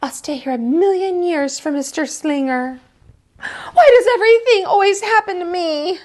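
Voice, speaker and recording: Woman putting on a dramatic high-pitched little girl mouse. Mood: wailing self-pity. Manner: theatrical, emphatic, drawn-out.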